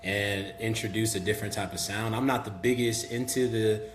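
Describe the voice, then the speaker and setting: A young man from Memphis with a Southern accent, talking relaxed and chatty as a guest on a podcast, with many "um"s and "you know"s. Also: lowish voice, normal speed. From Memphis, Tennessee. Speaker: guest rapper. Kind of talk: casual podcast interview. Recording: echoey room with faint hum.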